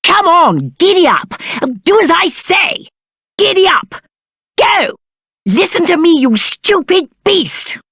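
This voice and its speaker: A man's voice: very high-pitched, very animated, slow pace, vocal fry, bright, forceful tone, no room sound, studio quality, exaggerated voice. A male actor playing a spoiled, cowardly young prince in a video game, with a British accent and haughty, whiny, aristocratic diction. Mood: furious, shrill. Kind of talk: theatrical video game character acting.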